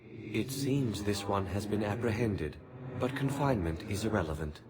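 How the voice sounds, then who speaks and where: Male actor as alien zealot, low pitch, formal, serenely fanatical, video game, clear background noise. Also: natural voice. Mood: devout calm. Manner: formal, measured, sanctimonious phrasing. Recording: clearly noisy game capture.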